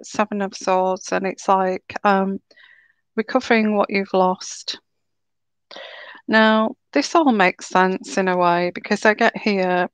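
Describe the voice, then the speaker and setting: A woman giving a live tarot reading in a slow, soothing, reassuring way, her throat a little sore and croaky. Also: mid-range voice, no room sound. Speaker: tarot reader.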